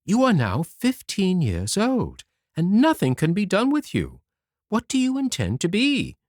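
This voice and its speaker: A man's voice: high voice, huge pitch swings, unhurried, a little mellow, no room sound, studio quality, voice pushed up, heavily acted. A man voicing an amused, doubting mother in a children's storybook, with emphatic exclamations. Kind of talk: storybook character voice.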